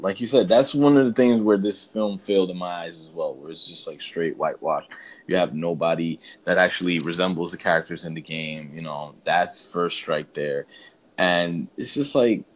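Deep-voiced guy on a podcast, laid back, rambles through his movie take. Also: low-quality recording. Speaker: podcast co-host. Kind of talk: casual chat, film review.

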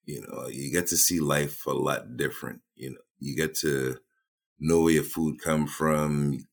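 A man, a guest in an interview, speaking reflectively and haltingly, often repeating words as he gets started. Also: very deep voice, steady tone, gravelly, a little hiss or hum. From Trinidad. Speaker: boom operator and filmmaker.

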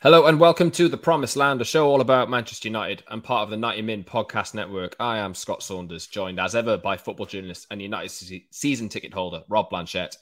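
A Welsh man hosting a football podcast, talking in a quick, chatty, energetic way. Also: lowish voice, no echo, clean studio sound. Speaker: podcast host. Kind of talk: casual football podcast chat.

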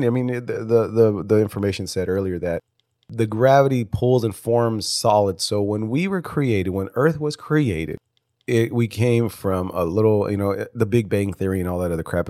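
A congested man with a stuffy nose, rambling through his own podcast episode. His voice sits a little low, and he stumbles over restarts and swears casually as he goes. The sound is dry and close, with no room around it. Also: darkish tone, no echo. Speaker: podcast host. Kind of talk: casual solo podcast monologue.

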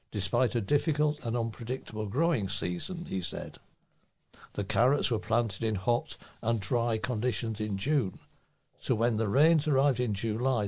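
A man's voice: medium pitch, a little mellow, husky. A man reading a local news report aloud as a volunteer newsreader.

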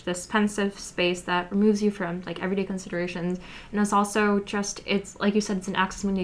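Teen girl hosting school podcast, friendly, asks questions kinda evenly, mid pitch. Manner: friendly, warm praise, measured questions. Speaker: student podcast host. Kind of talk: interview questions, partly read.

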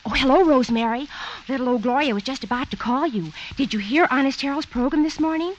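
An actress as a gossipy radio-station switchboard operator with a high, chirpy voice. She performs in 1950s radio comedy style on an old recording with faint noise. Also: fast, muffled recording, lightly acted.

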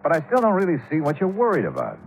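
An actor playing a no-nonsense American insurance investigator, brisk and dryly exasperated, in a 1950s radio drama. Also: male voice, on the high side, huge pitch swings, soft background music, poor audio. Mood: dry, skeptical. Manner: brisk, dry, exasperated, plain-spoken. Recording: vintage 1950s radio recording.

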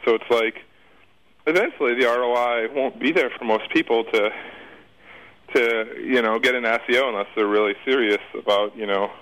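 A man's voice: mid-range voice, fairly quick, fairly bright tone, low-quality recording. Rambling, informal man in a casual interview. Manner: rambling, hesitant, informal and slangy. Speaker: interview guest, internet marketer.

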